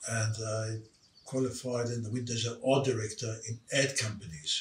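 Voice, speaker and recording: Elderly man in his eighties from South Africa, a halting storyteller heard in a roomy space with faint background noise. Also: mid-range voice, steady tone, recorded from a distance. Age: 80s. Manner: halting, with many fillers and restarts. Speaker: interview guest. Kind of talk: storytelling in casual chat.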